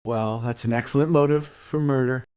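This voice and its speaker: A man's voice: medium pitch, normal speed, darkish tone, clear, resonant tone, no room sound, natural voice. Dry, sardonic male police colleague played by an actor. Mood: sardonic, probing. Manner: dry, sarcastic, clipped questions. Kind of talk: crime radio play acting.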